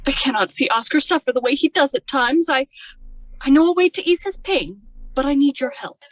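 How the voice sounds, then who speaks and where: An anxious young wife with a scheming streak, from a radio mystery, played by a woman. The voice sits high and pleads earnestly. The delivery is persuasive, in a stagey domestic-drama way. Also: expressive, fairly quick, soft background music. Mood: pleading. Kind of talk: amateur radio drama acting.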